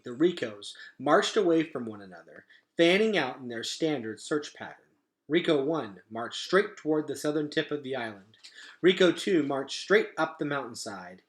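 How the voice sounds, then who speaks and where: A man reading a children's story aloud as narrator, in an expressive storytelling style. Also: on the high side.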